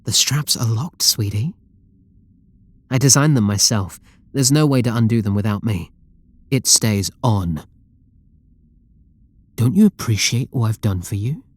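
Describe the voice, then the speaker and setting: A man's voice: lowish voice, lively intonation, a little slow, pauses often, no echo. Dry, intimate British actor as obsessive male lover. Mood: pleading, controlling. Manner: doting, intimate, pleading endearments. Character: obsessive, possessive male game developer, lovestruck and controlling. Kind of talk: roleplay ASMR audio drama.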